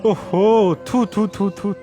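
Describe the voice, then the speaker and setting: Slow, high-voiced fussy village landlord man acting. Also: steady tone, warm tone, faint music behind. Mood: dismayed. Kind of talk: cartoon fairy-tale voice acting.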